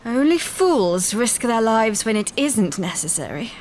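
A woman voicing a calm, wise, secretive alien woman who speaks in measured, cryptic lines, sometimes dropping to a whisper. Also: fairly high pitch, slight background noise. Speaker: alien guide. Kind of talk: audio drama acting. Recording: music score underneath. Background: music.

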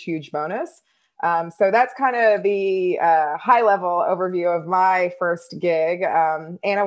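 A woman co-hosting a podcast, speaking in a measured, steady way with frequent ums. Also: fairly low pitch. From United States. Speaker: podcast co-host. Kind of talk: casual podcast chat.

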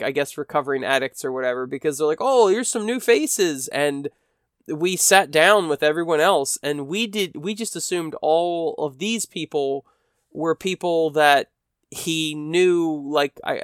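A man's voice: a little high, a little slow, clear, resonant tone, dry recording, studio quality. A man hosting a casual solo podcast, chatting in a rambling, self-deprecating way while sounding a bit stuffy from allergies. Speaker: podcast host.

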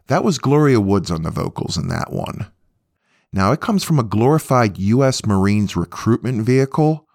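A man's voice: deep voice, gravelly, no echo, clean studio sound. A man hosting a music podcast, introducing and commenting on records in an informal presenting style. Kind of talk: informal music show presenting between songs.

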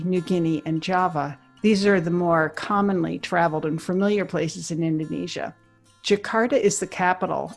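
Lower-voiced woman hosting an interview. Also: gravelly. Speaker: interview podcast host. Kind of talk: scripted introduction and conversational interviewing.

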